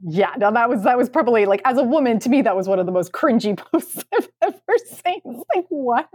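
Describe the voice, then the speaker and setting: A woman co-hosting a podcast in casual chat, expressive and incredulous in the way she talks. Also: fairly high pitch, a little fast, breathy edge. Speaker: podcast host.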